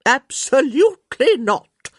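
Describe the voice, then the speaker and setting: An elderly countess, as acted by a female narrator, crotchety and imperious. Her pitch rises and swoops through sharp-tongued, emphatic pronouncements, with a husky edge. It is a storybook grande dame, recorded dry and close. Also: wildly swooping pitch, a little slow, dry recording. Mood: indignant, demanding.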